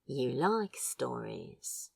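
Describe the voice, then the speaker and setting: Woman voicing an awkward, smitten man, slow and hesitant. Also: a bass voice, sing-song, creaky, husky, no echo, full character voice. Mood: curious. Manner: hesitant, curious, brief. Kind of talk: fan fiction audio drama dialogue.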